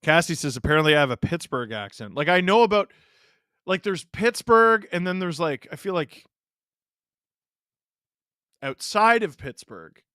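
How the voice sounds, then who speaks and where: A man's voice: on the high side, lively intonation, normal speed, pauses often, sharp tone, clear, resonant tone, dry recording, clean studio sound. A man in his thirties from Canada hosting a live hockey watch-along, joking with chat and reacting to plays. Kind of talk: casual live-stream commentary.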